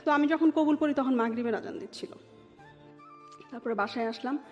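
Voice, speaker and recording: A woman with a fairly high voice and a Bangladeshi accent recounts personal experiences in a conversational way. She drops English words into her sentences. The sound is a little dull, with long reverb and music behind her. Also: brisk. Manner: mixes English words into Bengali. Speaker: interview guest telling her life story.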